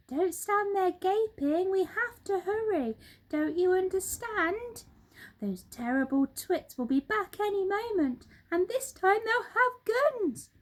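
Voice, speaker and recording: Woman as a frantic, high-pitched bossy monkey dad. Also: heavily acted. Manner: excitable, urgent, commanding shouting. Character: frenzied, bossy father monkey barking orders. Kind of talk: children's storybook character voice.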